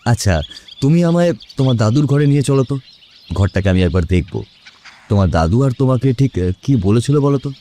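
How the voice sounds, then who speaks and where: A man plays a calm detective in an audio story. His voice is warm and moves expressively as he questions and ponders with measured pauses, with some background ambience behind him. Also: medium pitch, a little hiss or hum, close to an everyday voice. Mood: curious, calm. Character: calm, probing male detective Rajshekhar. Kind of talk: audio drama acting.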